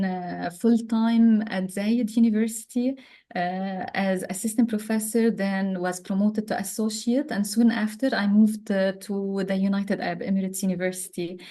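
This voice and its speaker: A woman from Lebanon, a chemistry professor, giving a webinar talk over a video call, pausing often with ums and uhs. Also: medium pitch, mellow. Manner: hesitant, many ums and uhs. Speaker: guest speaker, chemistry professor.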